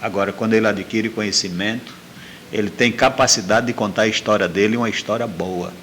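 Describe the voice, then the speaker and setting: A man's voice: fairly low pitch, fairly even intonation, brisk, fairly bright tone, slight background noise. A middle-aged man telling a personal story as an interview guest, repeating phrases for emphasis.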